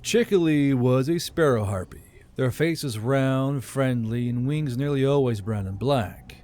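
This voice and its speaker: A man's voice: mid-range voice, measured pace, a little mellow, a little hiss or hum. A man reading scripted fantasy audiobook narration as a storyteller.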